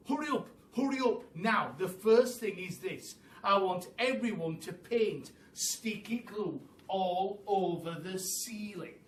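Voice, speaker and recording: In a roomy, distant recording, a bossy monkey father from a children's story as a man reads him aloud drives everyone on. He is impatient and frantic, barking excited commands. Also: sits high, takes it slowly, hardly any pauses. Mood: bossy, urgent. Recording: roomy, distant mic with faint noise.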